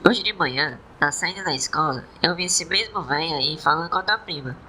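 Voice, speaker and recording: A woman's voice: low-pitched, a little fast, sharp tone, a little hiss or hum. A woman playing an earnest, concerned schoolboy in a radio soap, speaking colloquially with a Northeastern Brazilian accent.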